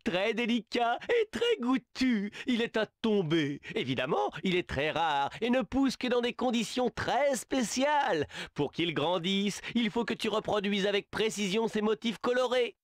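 A man's voice: high voice, sing-song, measured pace, hardly any pauses, dry recording, studio quality. An actor voicing a fussy, theatrical male animal who hands out tasks in a game, with a grandiose, condescending manner. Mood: lofty. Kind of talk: video game dubbing.

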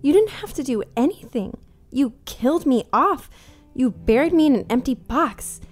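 A woman's voice: high voice, expressive, normal speed, faint music behind. A young woman playing a hurt, resentful niece, emotional and accusing, in an audio drama. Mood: bitter. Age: young adult. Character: hurt, resentful nineteen-year-old niece.

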